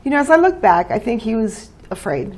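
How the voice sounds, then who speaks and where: An older woman from New Mexico, a guest in a casual interview, chatty and halting, laughing easily. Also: a little high, big pitch swings.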